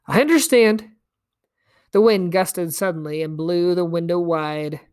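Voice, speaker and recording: A woman reading a story aloud as an expressive narrator. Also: lowish voice, moderate pace, smooth. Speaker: story reader.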